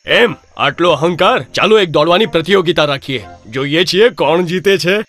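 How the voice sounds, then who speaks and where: An actor playing a stern, fair-minded wolf in a children's cartoon story, speaking in an emphatic, admonishing way. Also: male voice, fairly high pitch, normal speed, fairly bright tone, faint music behind, lightly acted. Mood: indignant challenge.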